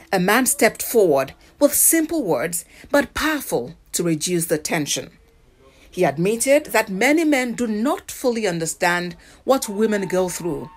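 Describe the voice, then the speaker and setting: A woman news reporter with a Nigerian accent narrates a scripted feature. Her intonation moves up and down in a lively way, at a medium pitch.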